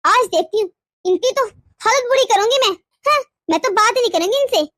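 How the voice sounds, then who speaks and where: एक औरत घरेलू मेलोड्रामा कहानी में जलन और चोट से भरी, रूठी, हुकूमत चाहने वाली जवान पत्नी का किरदार नाटकीय अंदाज़ में, शब्द दोहराते हुए निभा रही है।. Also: female voice, very high-pitched, a little fast. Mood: angry, hurt. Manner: dramatic, repeats words when upset. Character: young wife, jealous, wounded, sulky and controlling. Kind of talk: melodramatic domestic story acting.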